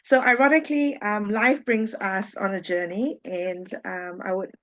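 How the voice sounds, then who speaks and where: A woman from Singapore, a social worker, leading a friendly webinar in a chatty, warm way with frequent ums and small laughs, over a video call. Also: medium pitch, steady tone, normal speed. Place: home. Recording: dull lo-fi video call audio.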